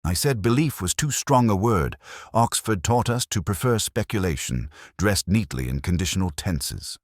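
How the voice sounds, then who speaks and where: A man with an exceptionally deep voice reads a brooding detective tale as its narrator. His delivery is measured and literary, with lively rises and falls in pitch, and the recording is close and dry. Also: no echo. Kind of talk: scripted first-person detective story narration.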